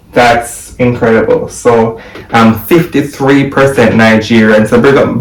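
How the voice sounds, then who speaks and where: A young man from Jamaica, talking excitedly to camera from a home office and repeating the numbers he reads out. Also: medium pitch, distant mic. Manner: excited, exclaiming, repeating figures. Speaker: vlogger. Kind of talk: casual video commentary. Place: home office room, indoors. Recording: echoey room, voice from afar.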